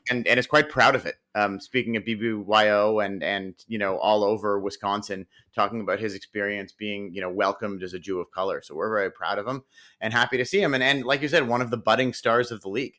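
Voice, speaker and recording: A man's voice: on the low side, fairly even intonation, fast, bright, forceful tone. A man co-hosting a podcast, chatting casually about football with lots of fillers and restarts. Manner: rambling, full of fillers and restarts. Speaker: podcast co-host. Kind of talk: casual sports chat.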